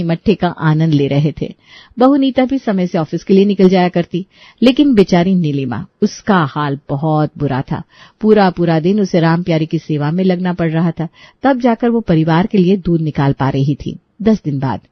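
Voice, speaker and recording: Woman narrator telling an expressive story. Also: lowish voice, no room sound, muffled recording.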